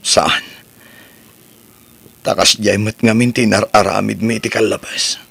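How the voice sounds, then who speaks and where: A man's voice: lowish voice, fairly even intonation, measured pace, gravelly, a bit bright, slightly rough, exaggerated voice. A man playing a caring cousin and a remorseful grown son in an amateur audio drama.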